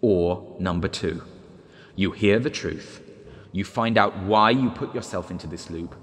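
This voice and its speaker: Man acting a low, coolly persuasive recorded guide. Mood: calm, formal. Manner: measured, deliberate, persuasive. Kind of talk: one-act stage drama acting. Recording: long hall reverb, loud music behind.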